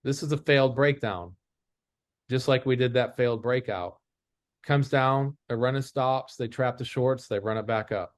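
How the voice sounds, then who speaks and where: A man's voice: mid-range voice, normal speed, no echo, clean studio sound. A man giving an informal lesson as an instructor, explaining step by step and repeating his rules. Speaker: trading instructor. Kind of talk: informal chart-based lesson.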